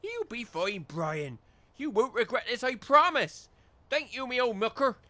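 Man doing a high-voiced, wheedling village chancer. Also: very animated, unhurried, bright, forceful tone, dry recording, exaggerated voice. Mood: grateful. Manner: rustic dialect, cajoling. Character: wheedling, chancer village labourer, rustic and chummy. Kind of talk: comedy audio drama.

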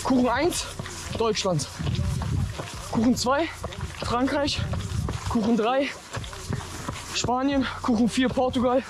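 A man's voice: extremely high pitch, takes it slowly, long pauses. A man vlogging as he runs a long trail outdoors, upbeat and talking while moving. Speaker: long-distance runner vlogging his trek. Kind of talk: vlog narration while walking.

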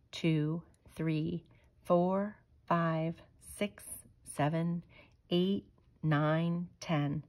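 A woman reading a children's picture book aloud in a measured, expressive way. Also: low voice. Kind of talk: storybook read-aloud.

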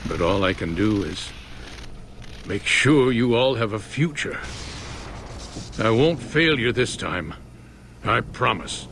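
A man's voice: lowish voice, animated, slow, long pauses, fairly bright tone, slight background noise, exaggerated voice. A man playing an elderly scientist and father in a video game, speaking earnestly and urgently. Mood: regretful. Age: older. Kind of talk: video game cutscene acting.

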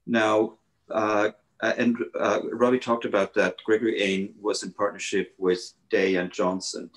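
A man, a guest architect speaking haltingly over a video call from a living room. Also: on the low side, level tone. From Switzerland. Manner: halting, many hesitations and restarts. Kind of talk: panel conversation.

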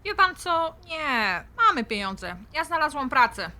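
A young student fiancée in an audio drama. Her voice is fairly high, bright and lively, and she is polite and keen to smooth things over. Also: a little slow, exaggerated voice. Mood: polite, assured. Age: young adult. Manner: polite, conciliatory.

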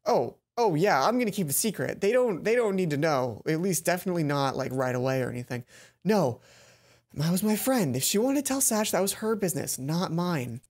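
Animated young man narrating a game. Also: fairly high pitch, brisk. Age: young adult. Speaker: let's play host. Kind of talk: expressive read-aloud narration and game commentary. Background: game music.

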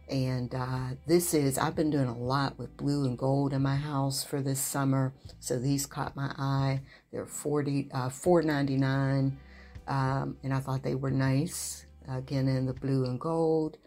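A woman with a very deep voice and a gravelly edge narrates a shopping vlog over soft background music. She talks in a relaxed, chatty way, with plenty of ums and uhs. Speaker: home decor vlogger. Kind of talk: shop-with-me voice-over.

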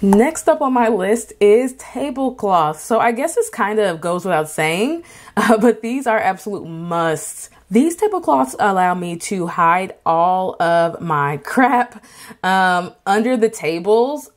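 A woman who is an artist, talking casually in a vlog with many fillers and restarts, giving emphatic advice. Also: lowish voice. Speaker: artist and craft vendor.

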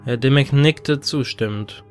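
A man's voice: medium pitch, a little slow. A man reading a scripted horror story as an audiobook narrator. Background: music.

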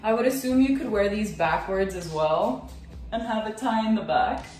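A woman's voice: medium pitch, expressive, moderate pace, a little mellow. A young woman giving a chatty, matter-of-fact review of an outfit she is trying on. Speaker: lingerie reviewer. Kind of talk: casual try-on review. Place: small bedroom indoors. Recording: very echoey room, faint music.

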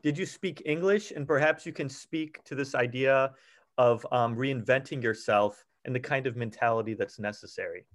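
A man's voice: mid-range voice, normal speed, dry recording. Guy in his 30s running a zoom q&a, polite, dead dry sound. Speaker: event moderator. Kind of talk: moderating a Q&A. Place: home room. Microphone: video call.

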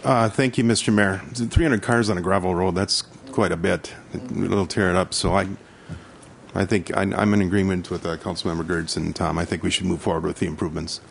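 A plainspoken male councilmember states his view in a very deep, gravelly voice. His manner is folksy and direct, with a faint hiss behind him. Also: fairly even intonation, brisk. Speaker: city councilmember. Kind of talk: council meeting remarks.

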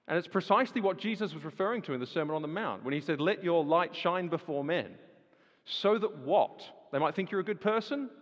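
A man preaching a sermon, firing rhetorical questions and swinging from loud emphasis to hushed lines. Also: fairly high pitch, highs cut off. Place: large church hall. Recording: long reverb of a large hall.

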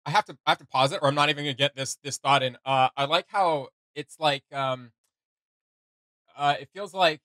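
A young man chatting casually as a reaction video host, excitable and rambling in his delivery. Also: fairly high pitch, brisk, no room sound. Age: young adult. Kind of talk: casual chat, reacting to game voice lines. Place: small room at home, indoors.

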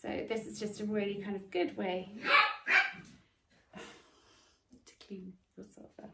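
A woman's voice: mid-range voice, level tone, slow, creaky. A woman in her forties chatting through a cleaning demonstration at home, using lots of filler words while she works. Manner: friendly how-to chat with filler words, working as she talks. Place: sunlit garden room in a home. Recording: echoey room with faint noise.

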